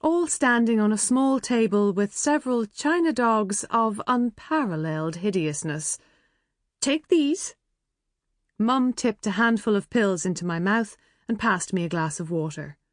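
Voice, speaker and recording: A woman's voice: medium pitch, moderate pace, no room sound, clean studio sound. A woman with an Irish accent narrating a first-person novel in a chatty, wry way. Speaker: audiobook narrator.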